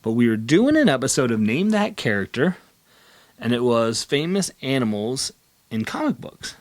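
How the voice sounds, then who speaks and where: Animated man hosting a casual video. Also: mid-range voice, moderate pace. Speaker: video channel host. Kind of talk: casual chat, interviewing a co-host.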